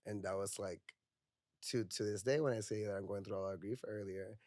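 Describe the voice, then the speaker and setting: Guest: low-voiced young man from Puerto Rico, reflective and candid, dry close sound. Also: fairly even intonation, a little fast, darkish tone, dry recording. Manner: reflective, heavy use of "like" and "you know". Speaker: podcast guest, mental health counselor. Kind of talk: candid personal conversation.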